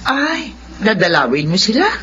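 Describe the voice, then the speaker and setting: A woman plays a protective, concerned Filipino mother of middle age in a radio drama. Her pitch moves a lot. The recording is dull. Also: big pitch swings, moderate pace, background noise, natural voice. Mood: worried. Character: worried, protective mother of a grown son. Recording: dull lo-fi audio.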